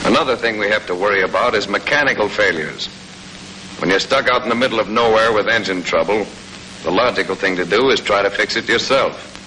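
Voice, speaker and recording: An American man narrates in the brisk, scripted style of a 1940s army training film. His voice is lowish and forceful, with plain-spoken, folksy instruction. It comes from an old film soundtrack with a faint layer of noise. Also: poor audio. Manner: brisk, matter-of-fact instruction with folksy colloquial touches.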